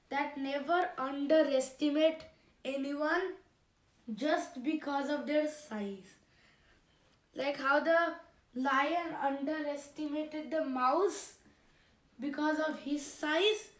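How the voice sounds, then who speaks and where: Slow-talking woman storyteller for kids. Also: sits high, roomy sound, distant mic. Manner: slow, plain explaining to kids. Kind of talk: children's story narration.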